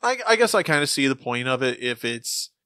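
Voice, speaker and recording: A man with a medium-pitched voice and a bright, forceful tone chats as a podcast co-host. His delivery is casual and conversational, recorded dry with hardly any room. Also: very animated, brisk, smooth, no room sound.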